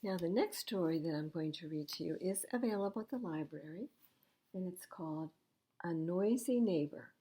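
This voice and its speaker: Animated older woman reading children's stories. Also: fairly low pitch, moderate pace. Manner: animated, acting out dialogue. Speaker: library storytime reader. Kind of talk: expressive children's storybook read-aloud.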